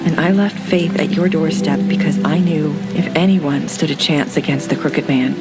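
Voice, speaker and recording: A woman's voice: low voice, moderate pace, natural voice, poor audio. An actress playing an anxious young woman confessing in a game, talking in hesitant, pleading bursts. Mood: earnest. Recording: game audio with loud music.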